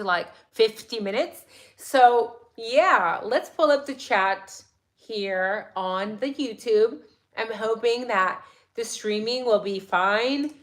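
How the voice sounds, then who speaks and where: Woman hosting a true crime stream, bit raspy today, kinda high voice, lots of "like" and "right?". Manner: chatty, excitable, many "like"s and "right?"s. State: slightly raspy voice. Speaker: true crime livestream host. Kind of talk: casual livestream chat.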